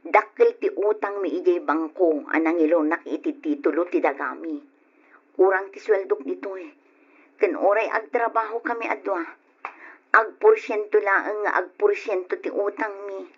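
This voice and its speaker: A practical, determined wife weighed down by burdens , played by a woman, in an old radio play. Her voice is husky and earnest, at a middling pitch. The sound is dull and lo-fi. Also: normal speed, close to an everyday voice. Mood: troubled. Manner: earnest, resolute. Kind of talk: old radio drama acting.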